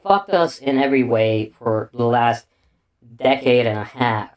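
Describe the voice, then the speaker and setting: A man with an unusual, hard-to-place accent delivers a meandering solo commentary. He talks slowly, swears freely and keeps restarting his sentences. His pitch sits in an ordinary middle range. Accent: unusual non-native English accent. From Bulgaria. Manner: meandering, crude, full of restarts and fillers. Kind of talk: casual rambling commentary monologue.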